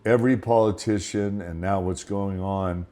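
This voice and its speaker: A man's voice: low-pitched, fairly even intonation, normal speed, warm tone. An older man from Los Angeles hosting a show, talking in a loose, rambling monologue full of restarts and asides. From Los Angeles, United States. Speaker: podcast host. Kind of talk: casual monologue.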